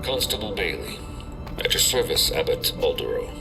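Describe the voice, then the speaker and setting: A man playing a gruff, dutiful city watch constable in an audio drama, speaking with deference. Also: sits low, big pitch swings, normal speed, over music, exaggerated voice, rough recording.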